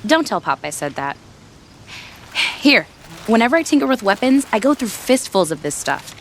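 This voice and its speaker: A woman's voice: fairly high pitch, very animated, normal speed, slight background noise. A woman playing a cheerful, eager young weapons tinkerer in a video game, chatty and playful. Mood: delighted. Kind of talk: video game dramatic acting.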